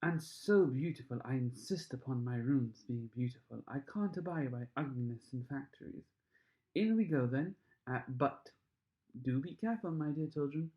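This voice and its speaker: A man voicing an excitable, proud, fussy chocolate factory owner in a storybook reading, with gushing exclamations. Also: mid-range voice, moderate pace, close to an everyday voice, poor audio. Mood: proud, cautioning.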